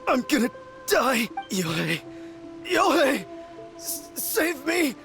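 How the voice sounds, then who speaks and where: A crazed, theatrical man from a video game. The delivery is very slow and halting, with heavy creak and wild swings in pitch. Music sits behind the voice. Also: big pitch swings, exaggerated voice. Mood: desperate, pleading. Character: crazed man boasting of manliness, then begging pitifully.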